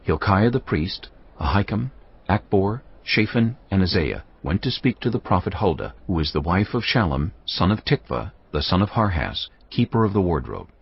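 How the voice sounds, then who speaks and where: A man narrating a dramatised scripture reading in a measured, solemn storytelling manner. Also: deep voice, big pitch swings, creaky, slightly rough. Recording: slightly dull audio with music bed.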